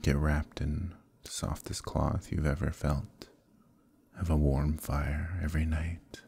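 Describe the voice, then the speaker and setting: A man playing an evil conquering knight in an ASMR roleplay, speaking in a gravelly, husky, measured way, calm and menacing yet quietly coaxing. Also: extremely low pitch, no echo, heavily acted. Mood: soft coaxing. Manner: measured, deliberate, quietly persuasive, pausing often. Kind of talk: ASMR roleplay, slow intimate dramatic monologue.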